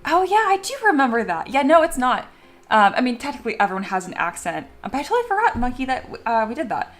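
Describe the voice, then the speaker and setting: A woman's voice: sits high, sing-song, fast talker, faint music behind. A young adult woman chatting casually on a live stream while she sculpts at home, reading viewer messages aloud and laughing often. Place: home studio.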